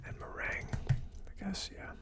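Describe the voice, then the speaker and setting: A person whispering in a breathy, unvoiced ASMR style, casually murmuring through notes as the host of a tasting video. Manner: slow, murmured word-by-word listing while typing notes.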